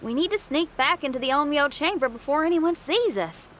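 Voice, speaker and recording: A woman's voice: sits high, lively intonation, fairly quick, heavy background noise. A woman voicing a bold, spirited young female street musician in a game, casual and direct in how she talks. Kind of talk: video game cutscene dialogue.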